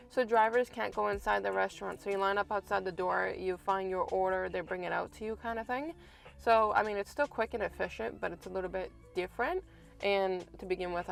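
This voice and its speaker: A woman delivery driver from Canada, raspy and congested from a cold, giving a frank, exasperated vlog from her car. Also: mid-range voice, fairly bright tone. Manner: frank, exasperated ranting with many restarts. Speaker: food delivery driver and vlogger. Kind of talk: casual vlog talk to camera.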